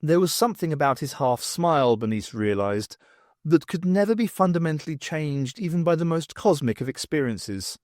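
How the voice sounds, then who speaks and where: A man reading a scripted story as an audiobook narrator, with expressive delivery. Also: fairly high pitch, normal speed, no echo, clean studio sound.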